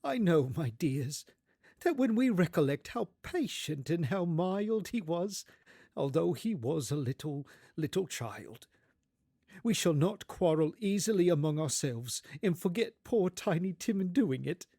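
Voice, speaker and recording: A gentle, tender-hearted father in grief, acted by a male reader for a dramatised storybook. His raised voice falters and repeats itself softly, close in a dry recording. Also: fairly high pitch, very animated, unhurried, breathy edge, dry recording, voice pushed up, heavily acted. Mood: tender, grieving.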